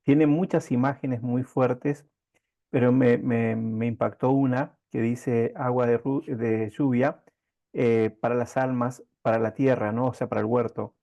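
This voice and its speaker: A man's voice: mid-range voice, steady tone, mellow. Man interviewing, dull lo-fi sound. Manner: halting, reflective, circling phrasing. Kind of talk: conversational interview.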